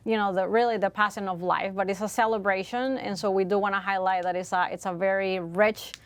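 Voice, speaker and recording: A woman from Venezuela, a foundation guest in a studio interview, explaining things earnestly with many "uh" pauses. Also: medium pitch, steady tone, no room sound.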